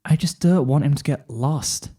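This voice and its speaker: A man voicing a shy, timid, soft-spoken cartoon girl who stammers hesitantly in a story reading. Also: fairly high pitch, normal speed, breathy edge. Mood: timid, fussing. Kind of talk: fan fiction story reading.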